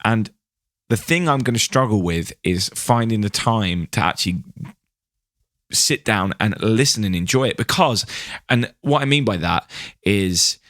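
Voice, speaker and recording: A male podcast host with a fairly low voice. He talks casually and effusively, full of enthusiasm, in a very dry recording. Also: lively intonation, a little slow, fairly bright tone, dry recording. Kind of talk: casual podcast interview chat.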